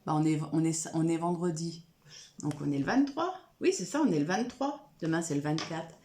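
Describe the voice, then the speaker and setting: A woman's voice: low voice, animated, normal speed, mellow. A woman chatting casually to camera from a room at home, rambling with many fillers and restarts, with a cold. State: has a cold. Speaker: coloring vlogger.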